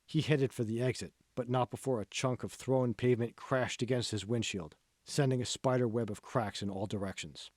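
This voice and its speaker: A man narrates a novel in a scripted audiobook style. His voice sits at a medium pitch, and the recording is dry, with no room sound. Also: fairly even intonation, moderate pace, few pauses, darkish tone, dry recording. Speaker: author reading own audiobook.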